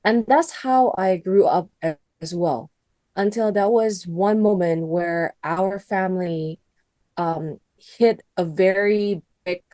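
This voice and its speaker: Mellow-voiced Indonesian woman. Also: lowish voice, no room sound. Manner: earnest, halting, with many restarts. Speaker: interview guest, country director. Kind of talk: personal testimony in an interview.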